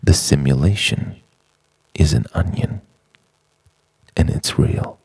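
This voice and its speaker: Very deep, gravelly man, slow spoken word. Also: a little mellow, no echo, rough recording. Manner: dramatic, halting delivery in short phrases. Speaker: narrator of own written reflection. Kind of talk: introspective spoken-word monologue.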